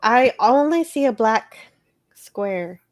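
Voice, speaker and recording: A woman's voice: fairly high pitch, lively intonation, slow. A woman chatting casually as co-host of a livestream show.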